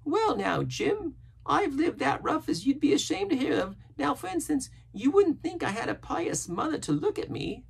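A man's voice: extremely high pitch, sing-song, moderate pace, vocal fry, pitched above the performer's own voice, full character voice. A man voicing a half-crazed, sly and pious marooned sailor in a storybook, with a strained, cracking voice and rustic pirate grammar. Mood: eager, sly.

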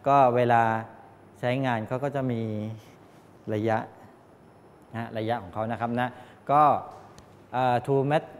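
A middle-aged man from Thailand giving a classroom lecture, explaining haltingly with frequent polite tag particles. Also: mid-range voice. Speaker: college lecturer in medical electronics. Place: medium-sized indoor classroom with wooden desks. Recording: echoey classroom, distant pickup, background noise.

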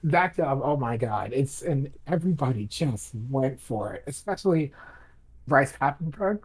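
A person with a husky voice chatting casually and emphatically as a drama director guesting on a podcast, gushing and rambling along. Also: medium pitch, animated, unhurried. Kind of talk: casual enthusiastic chat.

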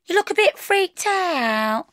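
Woman acting blunt, concerned female workmate, high pitch. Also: sing-song, takes it slowly, fairly bright tone, no room sound, studio quality, heavily acted.

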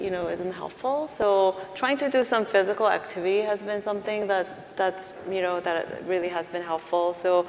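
A woman speaking informally and off the cuff as a panelist. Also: medium pitch. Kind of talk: informal, unscripted panel talk. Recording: echoey hall, faint background noise.

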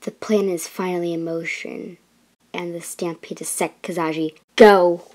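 A woman voicing a scheming, menacing lioness villain in an animated fan dub, speaking in a deliberate, sinister way. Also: on the low side, music in the background, lightly acted.

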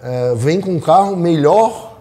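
A man's voice: fairly high pitch, animated, unhurried, warm tone. A middle-aged Brazilian man in casual panel talk, often repeating his words and phrases. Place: small indoor studio set.

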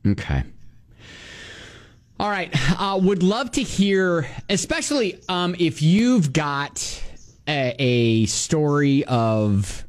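Lively man radio co-host. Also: on the high side. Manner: animated, stammering restarts, quick laughs. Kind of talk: radio talk show banter. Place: radio studio. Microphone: studio microphone.

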